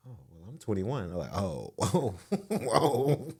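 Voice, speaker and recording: A man in his late twenties co-hosting a podcast, chatting in a relaxed way and laughing often. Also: fairly low pitch, a little slow. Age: 20s. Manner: laid-back, laughs a lot, many short backchannels. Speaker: podcast co-host. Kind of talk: casual chat.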